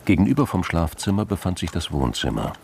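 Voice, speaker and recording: A man narrating a scripted crime drama in a measured, sober storytelling manner. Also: low voice, very animated, vocal fry, background noise.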